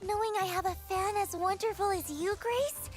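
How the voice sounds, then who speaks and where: A woman's voice: extremely high pitch, moderate pace, faint music behind. A woman playing a cutesy, bubbly young pop idol in an anime video game, with a sweet, theatrical and teasing manner. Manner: sweet, theatrical, refers to herself by name.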